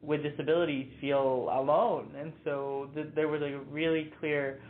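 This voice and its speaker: A man's voice: a little high, fairly even intonation, normal speed, a little hiss or hum. A young man raised in Mexico answering interview questions earnestly and thoughtfully, with many fillers and restarts. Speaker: interview guest, nonprofit founder. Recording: tinny phone-like line.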